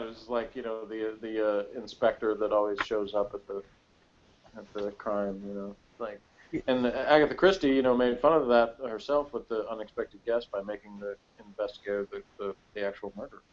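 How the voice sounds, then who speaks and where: A man co-hosting a casual review chat about TV, talking in a halting, rambling way with frequent self-interruptions. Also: on the low side, dull audio. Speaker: podcast co-host.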